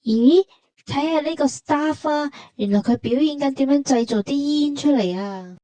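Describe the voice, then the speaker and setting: A woman's voice: high voice, normal speed, dark tone, no echo, poor audio. A woman with a Hong Kong Cantonese accent narrating a travel vlog conversationally, explaining things like a storyteller.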